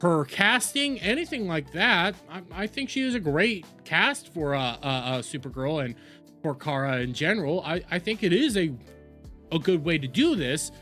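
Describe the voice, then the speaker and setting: A man hosts a livestream with opinionated reaction commentary. His delivery is emphatic and animated, with pitch that moves around a lot, and he often repeats phrases to drive a point home. Faint music plays behind his voice. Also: moderate pace.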